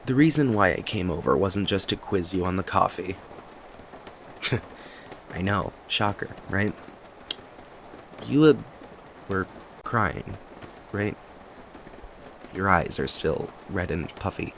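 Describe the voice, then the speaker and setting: Low-voiced, rambling friendly barista played by a man. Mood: gently concerned, hesitant. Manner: rambling, hesitant, apologetic, friendly. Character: talkative, kindly young male cafe owner and barista. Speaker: voice actor in an audio roleplay. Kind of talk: ASMR roleplay, conversational acting to the listener. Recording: steady rain noise behind voice. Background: rain and cafe ambience.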